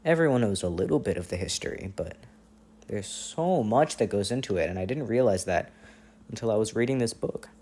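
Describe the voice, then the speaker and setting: A man playing an affectionate, playful boyfriend on a long-distance phone call, in intimate, casual ASMR roleplay with gentle teasing and hesitant pauses. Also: on the low side, expressive. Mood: earnest, chatty. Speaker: audio roleplay performer.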